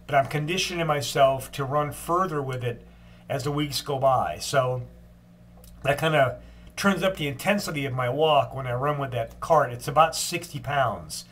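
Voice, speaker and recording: An older man with a stuffed-up cold voice giving a casual daily video update, unhurried, with frequent ums and restarts. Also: medium pitch, fairly bright tone. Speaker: long-distance walker giving a daily update.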